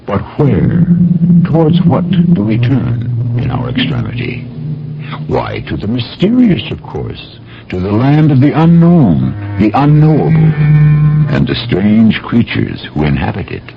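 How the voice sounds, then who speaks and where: A man's voice: medium pitch, wildly swooping pitch, measured pace, vocal fry, slight background noise, heavily acted. A man hosting a radio mystery drama in a portentous, philosophical, theatrical manner. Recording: dull old radio broadcast, music behind.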